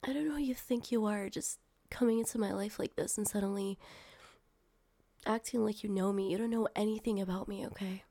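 Young woman doing a guarded girl opening up to her driver, soft half-whisper, husky and a bit gravelly, dark tone. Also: medium pitch, steady tone, fairly quick, dry recording, close to an everyday voice. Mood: frustrated. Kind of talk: one-sided audio roleplay addressing the listener.

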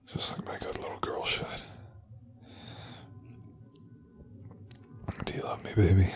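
A man's voice: low-pitched, vocal fry, exaggerated voice. A man playing a cold, domineering, cruel lover in a whispered adult audio roleplay, speaking close and taunting.

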